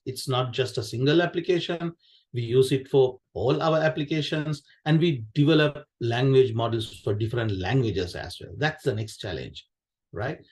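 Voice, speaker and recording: A man's voice: mid-range voice, darkish tone. A man with a Sri Lankan accent, a native Sinhala speaker, presenting technical material informally, repeating phrases and often checking in with questions. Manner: conversational, repeats phrases, poses questions and tags them with "right?". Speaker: QA director giving a conference session.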